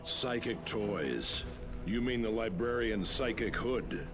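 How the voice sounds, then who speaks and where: An actor playing an earnest, resolute space marine sergeant, speaking firmly and formally in video game dialogue. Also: male voice, on the low side, lively intonation, slow pace. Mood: concerned resolve. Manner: firm, formal, soldierly. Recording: loud music behind the voice.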